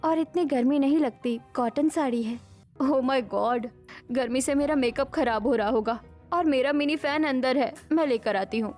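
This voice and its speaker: A woman playing a young daughter-in-law in an animated family story, with expressive domestic melodrama. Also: high voice, moderate pace, soft background music, close to an everyday voice. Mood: bickering.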